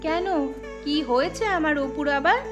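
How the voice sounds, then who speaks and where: A woman plays a poor village mother in a cartoon. Her voice is high, caring and gently firm as she mildly chides. Music runs clearly underneath. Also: normal speed, muffled recording, lightly acted. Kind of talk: cartoon story dialogue.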